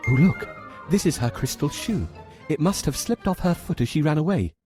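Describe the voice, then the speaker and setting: Man playing earnest mellow-voiced storybook prince. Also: on the high side, lively intonation, normal speed, soft background music, lightly acted. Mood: dismayed, determined. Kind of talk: children's storybook character acting.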